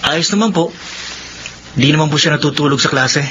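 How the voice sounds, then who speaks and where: An actor playing a dutiful, earnest young Filipino student who speaks respectfully, in a radio drama. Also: male voice, fairly high pitch, moderate pace, pushed and bright. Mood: polite, nervous. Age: young adult. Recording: muffled audio, clear background noise.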